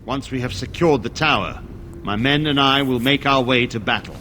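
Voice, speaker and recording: An actor playing a stern, dutiful templar commander in a fantasy game, speaking formally and with authority. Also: male voice, mid-range voice, normal speed, pushed and bright, a little hiss or hum, close to an everyday voice. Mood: resolute, grateful.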